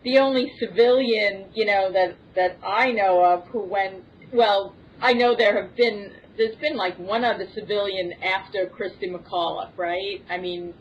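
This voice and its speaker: Mid-pitched woman, muffled recording. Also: fairly even intonation, a little slow, hardly any pauses. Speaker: podcast guest. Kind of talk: casual chat. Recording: muffled lo-fi audio.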